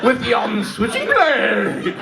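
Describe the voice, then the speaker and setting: A woman voicing a florid, old-fashioned male London highwayman mugger, recorded live in a pub comedy club. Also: wildly swooping pitch, slow, creaky, over a noisy crowd, full character voice, low-quality recording. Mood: mock-menacing. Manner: theatrical, archaic flowery phrasing. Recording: live club mic, loud audience laughter.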